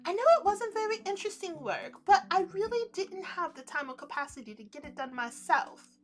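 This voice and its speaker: Female reader as reserved male winter spirit, visual novel, formal, measured, high-pitched, faint music. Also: lively intonation. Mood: apologetic.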